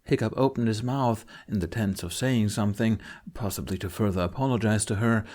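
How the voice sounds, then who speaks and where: Low-voiced man narrating dramatic fiction. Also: fairly quick, darkish tone. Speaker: audiobook narrator.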